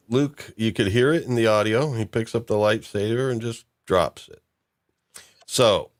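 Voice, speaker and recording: Low-voiced man hosting a rambling chat. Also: dry recording, clean studio sound. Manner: rambling, with many restarts and chuckles. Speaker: podcast host. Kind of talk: casual podcast discussion.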